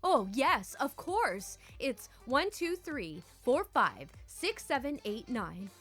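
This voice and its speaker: Anxious male caller played by a woman storyteller, high and animated, pleading with overblown panic. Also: takes it slowly, slightly rough, soft background music, heavily acted. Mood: earnest, indignant. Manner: pleading, overdramatic. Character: anxious man feigning panic to a phone scammer.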